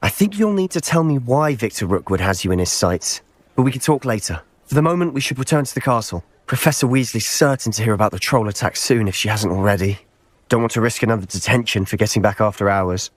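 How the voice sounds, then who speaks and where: An actor playing a friendly, inquisitive teenage wizard in a fantasy game. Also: male voice, lowish voice, lively intonation, fast, no echo. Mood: curious, concerned.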